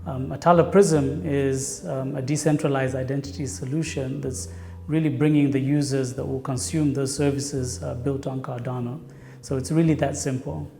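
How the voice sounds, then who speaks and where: A man from Zambia, a company product officer, explaining in a measured interview tone. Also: mid-range voice, steady tone, gravelly, mellow.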